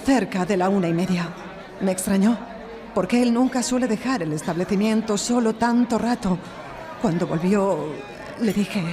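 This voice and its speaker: Woman playing distressed young shop assistant, medium pitch, earnest emotional account, live stage with audible crowd. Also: moderate pace, lightly acted. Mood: subdued, recounting. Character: distressed young female shop assistant testifying, tearful but firm. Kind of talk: live audio drama acting. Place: recorded live before an audience in a library. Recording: live stage recording, audience noise.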